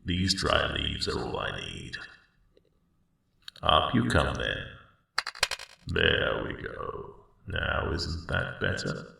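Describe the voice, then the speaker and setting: A man voicing a friendly old dragon in an ASMR roleplay, speaking gently and drowsily in a rambling, unhurried way. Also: sing-song, noisy background, heavily acted. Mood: warm, caring. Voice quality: very deep, gravelly. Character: friendly old male dragon, gentle sleepy cave guardian. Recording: very echoey cave-like sound, slightly distant.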